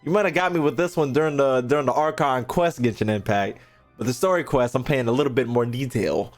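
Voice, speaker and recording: A man's voice: mid-range voice, brisk. A man streaming a game with casual, slangy reaction commentary, swearing freely and heckling in mock outrage. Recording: faint game music behind the voice.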